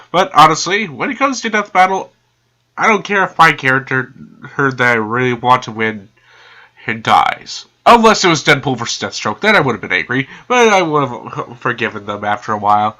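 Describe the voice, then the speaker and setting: A man's voice: medium pitch, expressive, moderate pace, sharp tone. A man giving casual reaction commentary, chatty and laughing often, sounding stuffed up from a cold.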